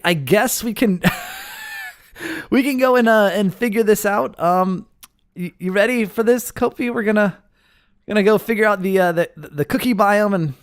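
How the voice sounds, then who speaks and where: A man hosting a gaming video with casual, playful roleplay commentary and animated reactions. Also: high-pitched.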